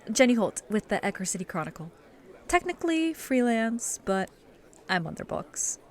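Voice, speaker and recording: An actress playing a confident, wry investigative journalist. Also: female voice, mid-range voice, big pitch swings, moderate pace.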